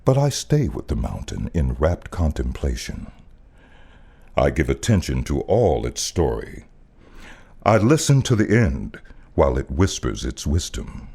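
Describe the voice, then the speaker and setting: American male poetry reader, extremely deep voice, dramatic and wide-ranging delivery. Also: gravelly, slightly rough. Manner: deliberate, expressive, theatrical phrasing. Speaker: voice artist reading a poem.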